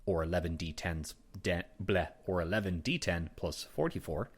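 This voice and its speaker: A man from abroad now living in the US, co-hosting a casual podcast and reading out game stats with quick, animated asides. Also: deep voice, husky. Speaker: podcast co-host.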